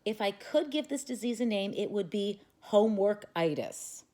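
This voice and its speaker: A woman's voice: medium pitch, lively intonation, moderate pace, mellow, close to an everyday voice. A woman voicing a serious, experienced male veterinarian. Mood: grave.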